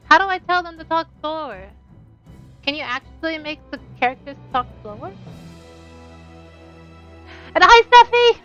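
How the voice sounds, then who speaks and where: Woman, high voice, theatrical streamer, visual novel read-along, dull lo-fi sound, game music behind. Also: sing-song, moderate pace, long pauses, fairly bright tone. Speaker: VTuber streamer. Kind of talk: live visual novel read-aloud with reactions.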